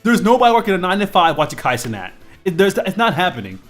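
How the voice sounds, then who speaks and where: A man in his twenties talks in a slightly high voice, rambling casually with fillers and restarts. His talk has the loose, animated feel of a live stream. Faint music plays quietly beneath him. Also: quick pace. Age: 20s. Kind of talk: casual live-stream chat while drawing.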